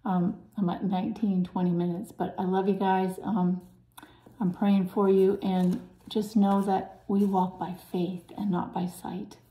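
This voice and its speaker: A woman with a raspy voice preaching to her viewers in an earnest, urgent way, often dropping to a soft, breathy hush. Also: medium pitch, level tone, unhurried, reverberant, poor audio. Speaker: channel host preaching.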